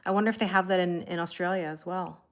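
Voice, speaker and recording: A woman's voice: lowish voice, steady tone, fast. Chatty Canadian woman on a live stream. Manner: chatty, friendly, laughs often, reads out viewer names. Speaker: tarot reader hosting a live stream. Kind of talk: casual live-stream chat greeting viewers.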